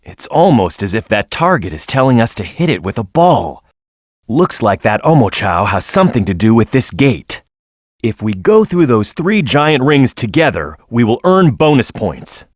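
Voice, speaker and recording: A man's voice: fairly low pitch, no room sound, studio quality. An actor voicing a tough, confident young male echidna hero in a video game, giving punchy, scripted instructions. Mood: helpful, confident. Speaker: video game character giving gameplay hints.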